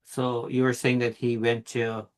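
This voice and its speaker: A man from Guyana hosting an interview, relaxed and encouraging, with many "you know" and "kind of" fillers. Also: medium pitch, measured pace. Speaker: host. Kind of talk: casual interview talk.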